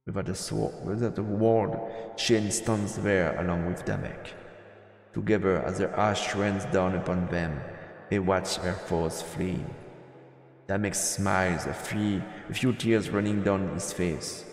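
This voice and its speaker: A man narrating a visual novel as a streamer, in a measured, dramatic storytelling style with deliberate pauses. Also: deep voice, fairly even intonation, creaky. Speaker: let's play streamer narrating. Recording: echoey, long reverb, music behind. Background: background music.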